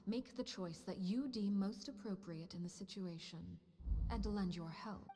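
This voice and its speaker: A woman voicing a stern, commanding head of a household of orphans, speaking in a cold, measured way. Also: mid-range voice, dark tone, husky, big-room sound. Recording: game audio under a stream, faint noise.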